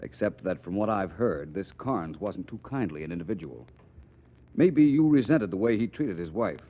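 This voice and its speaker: An actor playing a suave, politely probing private detective, precise and dryly pointed, in 1940s radio style. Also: male voice, low voice, expressive, normal speed. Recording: muffled old 1940s radio recording.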